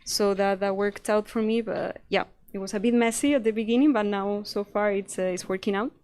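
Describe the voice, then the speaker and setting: A woman's voice: medium pitch, steady tone, a little fast, darkish tone. A young woman from Spain with a Spanish accent, telling students about her first job in a chatty, self-deprecating way. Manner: chatty and self-deprecating, acts out her own past thoughts. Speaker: panel speaker, investigative journalist. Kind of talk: casual panel talk to students.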